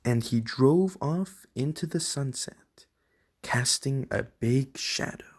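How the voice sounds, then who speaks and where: A reader voicing a friendly moose who gently reads storybooks aloud to young children in a deliberate way. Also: medium pitch, slightly rough. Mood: friendly, gentle. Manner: slow, deliberate, addressing children directly. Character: Alex the Moose, friendly storybook-reading moose of the enchanted woods.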